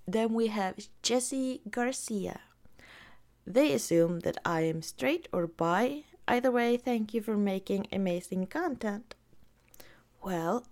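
Norwegian woman vlogger, slow and unhurried, soft giggly delivery reading comments. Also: medium pitch, vocal fry. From Norway.

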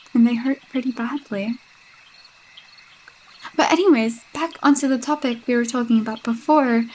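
A woman's voice: high voice, low-quality recording. A young woman voicing a possessive, jealous girlfriend with a sinister streak, sweet-talking and coaxing in a roleplay audio drama. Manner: sweet-talking, coaxing, with unsettling laughs and hushed asides.

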